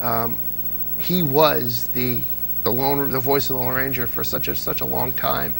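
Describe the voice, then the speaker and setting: A man's voice: mid-range voice, level tone, a little slow, gravelly, fairly bright tone, soft background music, low-quality recording. A man chatting as an interview guest, explaining things and telling anecdotes with a fan's enthusiasm. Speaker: interview guest, old-time radio fan. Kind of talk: casual interview chat.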